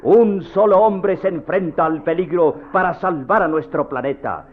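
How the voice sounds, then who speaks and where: A man announcing the opening of a vintage radio serial, in an emphatic, drawn-out way. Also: fairly high pitch, a little hiss or hum. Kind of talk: dramatic radio serial introduction. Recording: tinny old radio broadcast.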